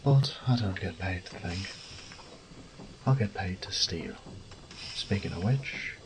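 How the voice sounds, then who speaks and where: A man's voice: low-pitched, takes it slowly, background noise. A man playing a cocky, wry thief who mutters casual, sweary self-talk in an audio roleplay. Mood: musing, wry. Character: cocky male thief, wry and foul-mouthed, talking to himself. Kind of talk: solo audio roleplay acting.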